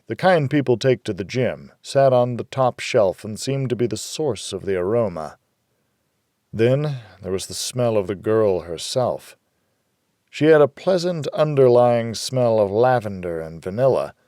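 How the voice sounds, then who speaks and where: A man's voice: mid-range voice, a little mellow, no room sound. Dry-recorded man narrating a hardboiled thriller. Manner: wry, hardboiled storytelling. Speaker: audiobook narrator. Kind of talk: scripted first-person noir thriller narration.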